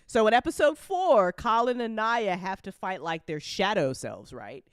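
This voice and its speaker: A woman hosts a relaxed group chat in a giggly, informal way. Her mid-range voice moves around a lot in pitch. The sound is dry, with no room to it. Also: normal speed, smooth, no room sound. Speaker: host putting questions to a cast.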